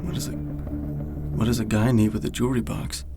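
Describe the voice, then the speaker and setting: A man playing an easygoing, teasing young friend in a naturalistic audio drama, with a gravelly creak. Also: fairly low pitch, lively intonation, normal speed, over loud music, lightly acted. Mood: curious.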